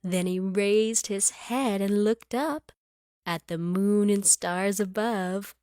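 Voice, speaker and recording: A woman narrating a children's storybook in rhyme, with an expressive, deliberate and rhythmic delivery. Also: medium pitch, no room sound, clean studio sound. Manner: deliberate, rhythmic verse reading. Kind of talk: expressive scripted read-aloud for young children.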